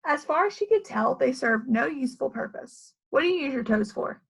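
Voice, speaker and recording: A woman's voice: high-pitched, expressive, fairly quick, vocal fry, fairly bright tone, breathy edge, poor audio. A woman reading a children's story aloud like a teacher, with questions to the listeners.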